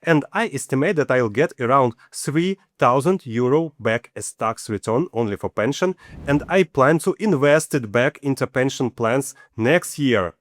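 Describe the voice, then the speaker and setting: A man in his forties speaks English with a Ukrainian accent in a mid-pitched voice. He lays out costs and sums one step at a time in a matter-of-fact way. The recording is dry, with no room echo. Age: 40s. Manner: matter-of-fact, walks through figures step by step. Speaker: channel host. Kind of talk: personal finance vlog.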